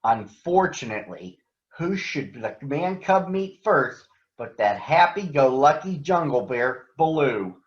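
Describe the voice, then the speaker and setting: A man voicing a protective panther who narrates a storybook jungle tale in a measured, earnest way. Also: medium pitch, sing-song. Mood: watchful. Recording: roomy echo, dull lo-fi sound.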